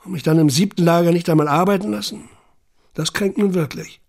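An actor playing a haunted, self-questioning camp survivor in a literary audio monologue, intense and introspective, with a husky, gravelly voice. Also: male voice, sits high, brisk, darkish tone. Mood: brooding, questioning. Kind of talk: literary first-person monologue in audio drama.

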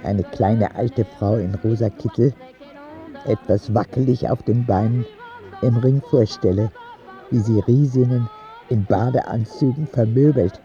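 A man's voice: medium pitch, a little slow, mellow, lo-fi sound, faint music behind, close to an everyday voice. A man playing an earnest, candid ten-year-old sick boy who narrates his letters in a radio drama. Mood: confiding, admiring.

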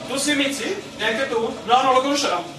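An echoey room recording of a pompous village mayor in a radio drama. His high voice declaims stubbornly and dismissively, some distance from the mic. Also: fairly quick, background noise. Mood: lofty. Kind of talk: stage-style radio drama acting.

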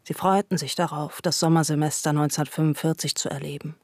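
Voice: mid-range voice, steady tone, fast, darkish tone, no room sound. Dry, close literary narrator. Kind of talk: scripted literary narration for a radio play.

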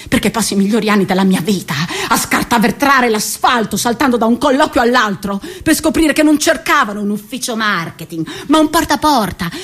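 A restless, defiant thirty-year-old out of work and living on a scooter. The voice sits fairly high. It is delivered as radio theatre, halting and repetitive, swinging between murmurs and agitated outcries. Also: female voice. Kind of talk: dramatic radio theatre monologue.

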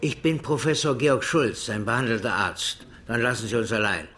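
An actor playing an indignant, authoritative professor of medicine in a German radio drama. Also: male voice, mid-range voice, lively intonation, moderate pace, pushed and bright. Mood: commanding. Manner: authoritative, demanding.

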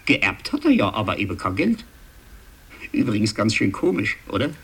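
An actor playing a chatty local man, husky and rambling, recounting gossip conversationally in a 1960s radio drama and seeking the listener's agreement. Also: on the low side, huge pitch swings, moderate pace, fairly bright tone, slight background noise, heavily acted. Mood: animated gossip.

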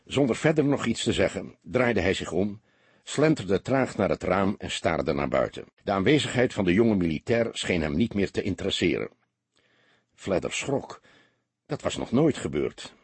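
A man's voice: fairly low pitch, a little mellow, slightly rough, no room sound. A man reading a crime story aloud as an audiobook narrator, in a dramatic scripted style.

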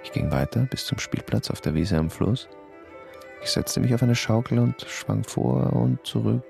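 A man narrating a first-person radio drama in a quiet, inward, understated way. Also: mid-range voice, expressive, moderate pace, gravelly, soft background music. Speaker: narrator and lead in a radio play.

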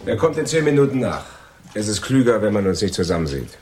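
An actor playing a loyal, philosophizing crook in a German radio crime drama, with a husky, expansive way of talking. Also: male voice, lowish voice, sing-song, fast, a little mellow. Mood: smooth, pointed. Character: loyal, philosophizing male crook, the caring accomplice.

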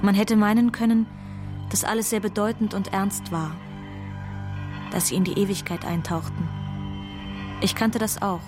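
An actress playing an observant, reflective teenage daughter in a radio drama monologue. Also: female voice, medium pitch, fairly even intonation, normal speed, pauses often, lightly acted. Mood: observant, wistful. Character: observant teenage daughter watching her father fall in love. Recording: music bed behind voice.

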